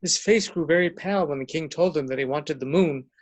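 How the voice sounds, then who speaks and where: A man's voice: on the high side, brisk, background noise. A man reading storybook narration as a narrator.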